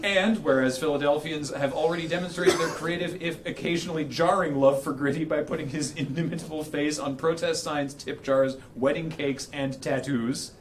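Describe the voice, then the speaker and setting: A man with a slightly high voice reads a formal proclamation aloud with mock solemnity. He is plainly amused and liable to crack up as he goes. There is clear background noise with some clicking. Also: fairly even intonation, normal speed, few pauses. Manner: amused, prone to cracking up while reading. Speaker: charity livestream host reading aloud. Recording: noisy room with clicking.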